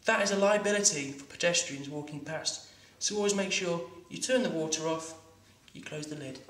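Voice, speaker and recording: Guy teaching plumbing in a workshop, highish voice, bit far from the mic, echoey room. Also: normal speed, fairly bright tone. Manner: practical, instructive, stumbling restarts. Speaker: plumbing instructor. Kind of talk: hands-on demonstration lesson.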